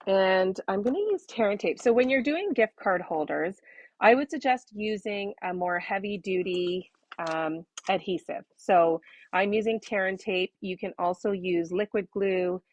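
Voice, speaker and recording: A woman with a slightly hoarse, tired-sounding voice, chatting informally as she hosts a casual step-by-step craft demonstration with self-deprecating asides. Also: mid-range voice, no room sound. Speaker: craft tutorial host.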